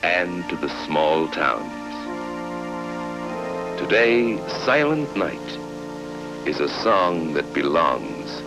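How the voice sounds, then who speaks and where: A man narrating a vintage educational film from the 1950s in a measured, formal storytelling style, on an old film soundtrack. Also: a bass voice, big pitch swings, gravelly, rough recording. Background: music.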